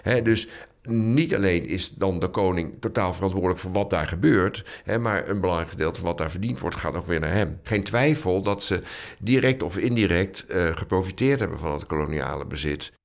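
Low-voiced male historian being interviewed. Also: normal speed, gravelly. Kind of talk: explanatory interview talk.

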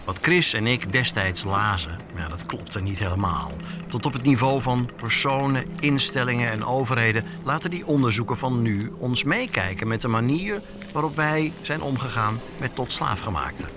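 A man's voice: medium pitch, normal speed, noisy background. A man with a Netherlands Dutch accent narrating a scripted podcast as its host, telling it personally and posing rhetorical questions.

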